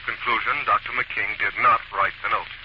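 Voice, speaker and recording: An American man playing a confident, pedantic detective in a 1940s radio mystery, speaking in a deliberate, declamatory way. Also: fairly low pitch, steady tone, close to an everyday voice. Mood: assured deduction. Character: confident male amateur detective, precise and pedantic. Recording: muffled, very noisy vintage radio recording.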